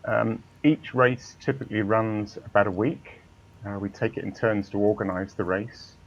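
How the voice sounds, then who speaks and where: A man with a low, gravelly voice explains things in an easygoing, measured way. He comes through a voice-chat line that sounds a little dull and muffled. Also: steady tone, slight background noise. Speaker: guest, racing club organizer. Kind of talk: casual interview over voice chat.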